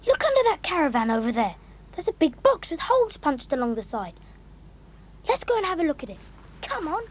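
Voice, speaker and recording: A woman playing a sensible, cheerful schoolboy in a children's audio drama, brisk and reassuring. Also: high voice, sing-song. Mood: curious.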